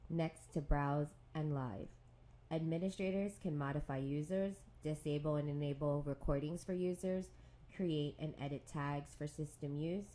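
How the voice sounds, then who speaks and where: A woman reading scripted instructions for a software tutorial in a measured, neutral, step-by-step way. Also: low-pitched, poor audio.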